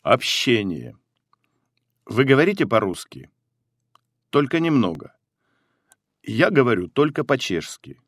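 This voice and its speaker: A man reads language-course phrases at an unhurried, measured pace, each one spoken carefully and clearly. His intonation moves up and down a lot from phrase to phrase, and the recording is close and dry with no room sound. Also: mid-range voice, big pitch swings, a bit bright, no echo. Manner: deliberate, carefully enunciated with pauses between phrases. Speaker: phrasebook reader.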